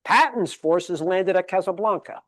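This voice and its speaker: A man's voice: high-pitched, unhurried, a bit bright, no room sound, clean studio sound. A man giving a history lecture at a public library, emphatic and fond of rhetorical questions, rattling off dates and figures. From Connecticut, USA. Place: library meeting room.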